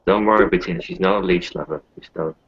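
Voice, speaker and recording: A man playing a reassuring, cautioning werewolf packmate in an improvised roleplaying game, with a gravelly, husky voice. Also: sits low, steady tone, fast talker, fairly bright tone, no echo. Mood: calm, cautioning. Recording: dull lo-fi voice recording.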